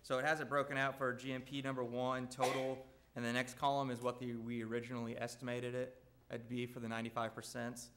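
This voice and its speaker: A man addresses a school board meeting, walking through construction bid recommendations. His voice is loud and his pitch stays very flat and level. He speaks steadily with plenty of "uh"s between figures. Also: fast, a little hiss or hum. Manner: loud, steady, even delivery with frequent "uh". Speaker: construction company representative.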